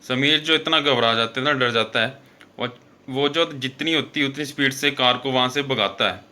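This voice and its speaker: Bright, forceful male horror storyteller. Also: medium pitch, moderate pace. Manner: conversational, repetitive filler-laden phrasing with self-corrections. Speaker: host and storyteller of a horror show. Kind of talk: informal horror storytelling narration.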